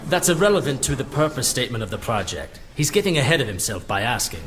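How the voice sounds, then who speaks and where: An actor playing a skeptical, officious project member in an audio drama, formal and full of objection. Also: male voice, mid-range voice, big pitch swings, fast talker, a bit bright, faint music behind. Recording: faint background noise.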